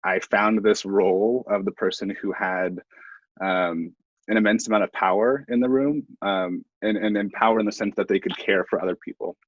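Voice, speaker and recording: Young man, low-pitched, thoughtful interview answers, halting delivery, dull recording. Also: steady tone. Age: young adult. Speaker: stage management graduate student. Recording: muffled, roomy interview sound.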